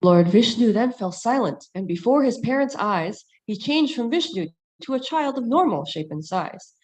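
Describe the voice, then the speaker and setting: A woman narrating a sacred story in rhyming verse with an even storytelling cadence. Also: on the high side, normal speed.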